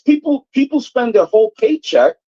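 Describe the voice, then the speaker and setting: Brief: male caller, rambling, pitch swings a lot, muffled line. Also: expressive, moderate pace, mellow. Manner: conversational, rambling with fillers. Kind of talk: casual call-in chat. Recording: dull lo-fi call audio.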